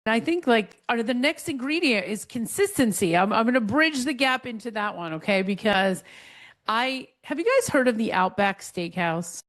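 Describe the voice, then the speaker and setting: A woman hosting a casual interview, telling stories quickly and with lively energy, with frequent "like" fillers. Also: on the high side. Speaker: podcast host.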